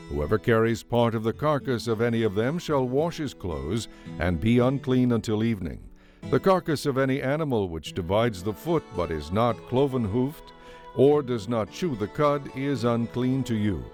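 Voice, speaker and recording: A man narrating scripture from a script in a measured, solemn manner. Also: medium pitch, animated, a little mellow. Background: music.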